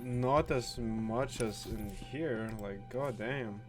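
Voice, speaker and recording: Slow-paced sweary male gamer commentary. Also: mid-range voice, music in the background. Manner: sweary, halting, repeats words. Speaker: gaming video host. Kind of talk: casual gameplay commentary.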